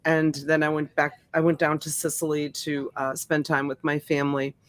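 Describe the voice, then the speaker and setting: A woman's voice: low voice, flat delivery, moderate pace, no echo. A middle-aged woman whose first language is Italian, hosting a live-stream auction and chatting casually with viewers, greeting them by name with plenty of fillers. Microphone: USB desk mic.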